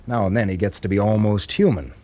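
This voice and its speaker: A man's voice: on the low side, fairly even intonation, fast, mellow, close to an everyday voice. An actor playing a wry, sceptical young male student in a radio drama, speaking in a sardonic, bantering way. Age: young adult. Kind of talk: BBC radio drama acting.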